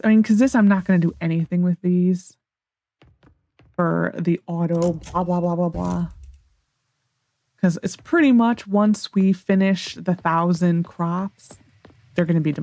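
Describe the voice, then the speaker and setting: A woman's voice: on the low side, lively intonation, moderate pace, mellow. A woman with a General American accent giving casual gameplay commentary, rambling as she thinks aloud to herself. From United States. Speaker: gaming video host.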